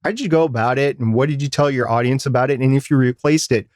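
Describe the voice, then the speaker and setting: A man's voice: medium pitch, quick pace, a little mellow, no room sound, clean studio sound. A man hosting a podcast, giving advice in a semi-scripted style. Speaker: podcast host.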